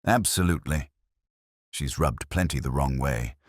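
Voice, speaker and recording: A man's voice: rumbling low, normal speed, no room sound, clean studio sound, voice pushed down. A man voicing a defensive, haughty suburban leading lady in a mystery story, prim and clipped.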